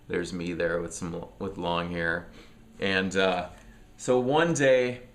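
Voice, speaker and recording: A man's voice: low-pitched, a bit bright. A middle-aged man, sniffly from allergies, chats casually and rambles about records at home, with lots of fillers and restarts. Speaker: music vlogger. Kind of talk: casual record collection chat.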